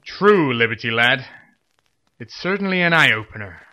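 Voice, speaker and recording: A man's voice: mid-range voice, expressive, moderate pace, sharp tone, poor audio. A man playing a pompous, earnest superhero commander in a comedy audio drama, with heroic formality that slips into friendly chat. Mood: chatty.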